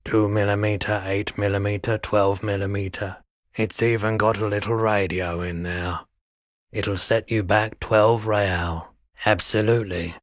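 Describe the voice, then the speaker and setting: Slow, low-voiced, deadpan shopkeeper played by a man. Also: no room sound, studio quality, close to an everyday voice. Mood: matter-of-fact, unhurried. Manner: deadpan, unhurried, drawn-out phrasing. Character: laid-back eccentric male pawnshop keeper, oddly philosophical. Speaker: shopkeeper character. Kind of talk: video game character dialogue.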